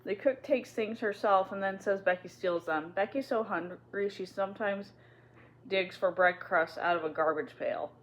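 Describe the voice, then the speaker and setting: A brave, kind young girl, as a woman reading a storybook voices her, speaks gently and quietly. Her voice stays close to the reader's own. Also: medium pitch, moderate pace, a little hiss or hum, close to an everyday voice, low-quality recording. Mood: sad whisper.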